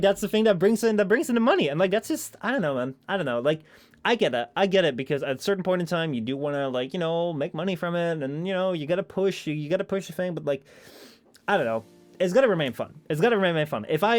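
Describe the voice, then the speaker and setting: Animated Dutch-accented male streamer. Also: high voice. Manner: rambling, filler-heavy, swears often. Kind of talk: casual stream chat, reading out chat messages.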